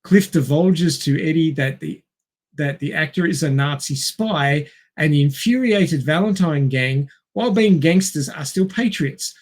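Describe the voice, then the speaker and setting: Higher-pitched male podcast co-host, wry. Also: a little slow. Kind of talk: reading a film plot summary with wry asides and casual chat.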